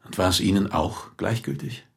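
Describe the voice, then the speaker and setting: An actor playing a sceptical police inspector in a radio play, questioning drily and insistently. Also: male voice, sits low, moderate pace, creaky. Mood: probing. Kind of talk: naturalistic German radio play acting.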